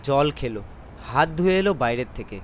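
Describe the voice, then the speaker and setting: A man's voice: medium pitch, expressive, moderate pace, highs cut off. Male audio-story narrator: suspenseful, theatrical, very varied intonation, crickets and noisy ambience behind. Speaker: narrator of audio horror stories. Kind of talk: dramatised first-person story narration.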